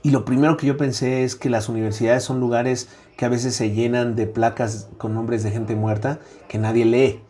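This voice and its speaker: A man's voice: medium pitch, little pitch movement, moderate pace, few pauses, dark tone, a little hiss or hum. A middle-aged Mexican man with a Mexican accent, speaking as a guest academic in a conversational interview, reflective, with frequent "eh" fillers and a habitual "¿no?".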